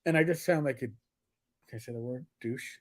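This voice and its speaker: A man's voice: medium pitch, fairly quick. A middle-aged man with a New York accent, co-hosting a casual livestream chat and rambling with many fillers and restarts. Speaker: podcast co-host.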